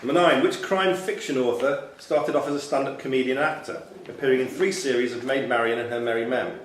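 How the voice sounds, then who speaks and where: A male quizmaster reads questions aloud in a mid-pitched voice. The room around him is echoey, with slight noise behind him. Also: brisk. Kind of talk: reading quiz questions aloud. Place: indoor club room.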